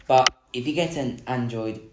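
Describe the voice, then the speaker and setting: A woman casually explaining a phone screen reader, deliberate and unhurried, listing examples one by one. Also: very deep voice, reverberant, background noise. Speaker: tech tutorial co-host.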